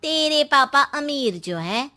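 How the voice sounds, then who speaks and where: A woman's voice: sits high, expressive, slow pace, sharp tone, heavily acted. A woman playing a catty, teasing young female friend in a cartoon, blunt and taunting.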